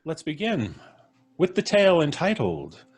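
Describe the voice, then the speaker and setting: A man's voice: a little high, wildly swooping pitch, measured pace, slightly rough. A man hosting a live show, chatting casually and introducing the evening's performance. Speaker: host.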